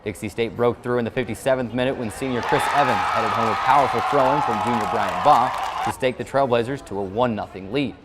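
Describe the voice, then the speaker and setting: A man's voice: mid-range voice, a little fast, over a noisy crowd, heavy background noise. A man hosting a sports show, reading a scripted recap in formal broadcast phrasing.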